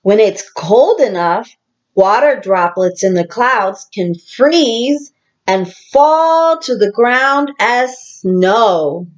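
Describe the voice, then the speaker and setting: Female primary teacher for picture-book read-aloud. Very slow, deliberate delivery, animated storytelling, slightly muffled lo-fi sound. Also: on the high side. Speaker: teacher reading a picture book to young children. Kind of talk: children's read-aloud with asides to listeners.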